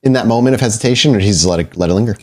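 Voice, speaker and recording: A man's voice: on the low side, rapid-fire. Man narrating a tabletop game. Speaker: storyteller, game master.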